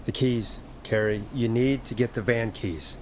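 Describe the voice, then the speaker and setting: A man voicing a wry, steady late-night radio host in a video game, with a dry, measured, reassuring manner. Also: medium pitch, slight background noise, close to an everyday voice. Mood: urgent, reassuring. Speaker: radio talk show host.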